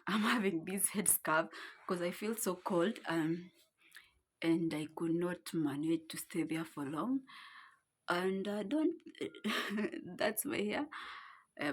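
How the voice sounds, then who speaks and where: A woman's voice: fairly low pitch, breathy edge. A young woman with a Kenyan accent telling a casual vlog story from her home, rambling as she searches for words. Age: young adult. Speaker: vlogger. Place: her home, seated on the floor.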